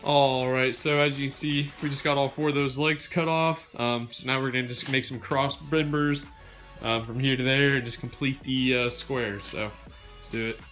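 A man's voice: mid-range voice, steady tone, normal speed, fairly bright tone, soft background music. A young man casually narrating a DIY build in his home garage, talking with many ums and uhs while he films on a handheld phone. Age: young adult. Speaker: DIY vlogger.

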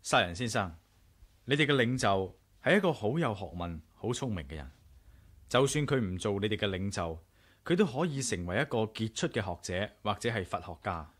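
An actor with a Hong Kong Cantonese accent playing a confident, inquisitive adventurer hero in a 1980s radio drama. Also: male voice, on the low side, big pitch swings, moderate pace, husky. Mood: confident, decisive.

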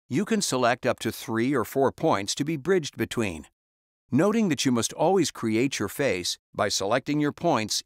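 A man reading a scripted step-by-step software tutorial as a voice-over, calm and instructional. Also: mid-range voice, dry recording, studio quality.

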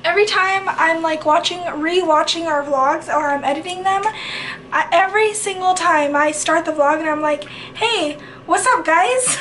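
A woman's voice: very high voice, moderate pace, fairly bright tone, a little hiss or hum, low-quality recording. A young woman in her twenties with a Mexican American accent, chatting in a bubbly, giggly way in a small apartment. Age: 20s. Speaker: vlogger. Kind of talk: casual home vlog chat. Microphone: camera held by someone nearby.

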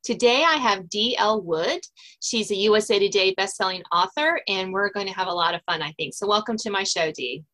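A woman's voice: medium pitch, a little fast, bright, forceful tone. A woman hosting an interview show in a casual, conversational style. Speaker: podcast host and author.

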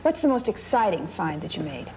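Lively-pitched woman interviewer. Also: a little fast, a little hiss or hum, low-quality recording. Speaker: host and interviewer. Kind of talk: interview questions. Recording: loud music over old videotape.

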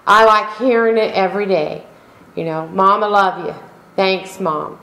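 A middle-aged woman pastor delivering a sermon in slow, emphatic preaching style, calling out for amens. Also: medium pitch, vocal fry, a bit bright. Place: church sanctuary. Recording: echoey room.

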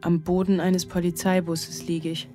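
A woman playing a haunted, bewildered single mother in a German radio drama, speaking in measured, literary fragments. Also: on the low side, flat delivery, music in the background, close to an everyday voice. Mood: numb, brooding.

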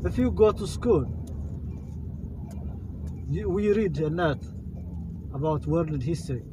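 Man, Ethiopian accent, earnest, halting, fillers, slightly high voice, car road noise. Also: animated. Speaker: rideshare driver. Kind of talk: casual conversation. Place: inside a moving car at night.

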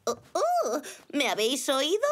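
High, animated elderly great-grandmother zebra. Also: unhurried, full character voice. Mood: surprised, animated. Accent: Castilian Spanish. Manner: expressive, amused, fond of the little ones. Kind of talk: animated children's cartoon dub. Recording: music behind the voice.

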